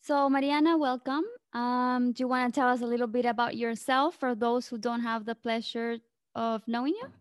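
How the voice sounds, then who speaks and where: A woman hosting a podcast as a health coach, giving a gentle, welcoming introduction and then greeting her guest. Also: fairly high pitch, steady tone, moderate pace, smooth, dry recording, studio quality.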